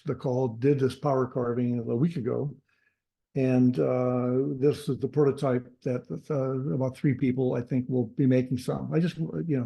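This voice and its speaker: A man with a gravelly, very dark voice hosts a club meeting over a video call. He talks loosely, circling back with fillers and restarts. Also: mid-range voice. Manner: rambling with many fillers and restarts. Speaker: carving club presenter. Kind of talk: casual presentation on a video call.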